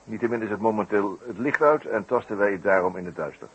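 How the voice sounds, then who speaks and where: Actor as wry, low-voiced radio detective. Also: male voice, fast, lo-fi sound, close to an everyday voice. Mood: probing. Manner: pompous, ironic, wordy turns of phrase. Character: wry male amateur detective, urbane, teasing, inquisitive. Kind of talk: 1960s Dutch radio play acting. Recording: noisy vintage radio play recording.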